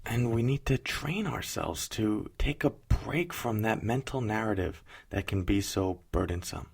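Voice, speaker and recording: Very low, creaky-voiced man guiding meditation. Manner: gentle, unhurried, soothing delivery with pauses. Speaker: meditation guide. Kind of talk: guided mindfulness meditation.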